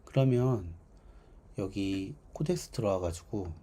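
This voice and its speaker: A man with a hoarse, worn voice giving casual livestream commentary as a game developer, thinking aloud haltingly. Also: on the low side, animated, mellow, slight background noise. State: hoarse, strained voice from overuse.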